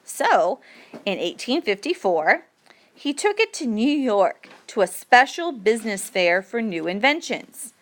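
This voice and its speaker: A woman reading an educational passage aloud as a teacher, with deliberate, measured phrasing for learners. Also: a little high, very animated. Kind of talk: read-aloud educational narration.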